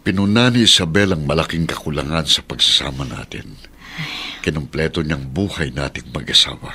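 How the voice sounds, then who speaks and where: A Filipino man acting an earnest, reflective husband in a radio drama, speaking in a measured way. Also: very deep voice, noisy background. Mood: pensive.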